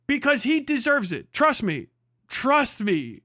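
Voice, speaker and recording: A man with a high, sharp voice rants casually as a livestream host, swearing freely and hammering points by repeating them. The sound is close and very dry, with a forceful edge to the delivery. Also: huge pitch swings, slow pace, no echo. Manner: profane, emphatic, repeats phrases for emphasis. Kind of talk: casual livestream commentary, comedic ranting.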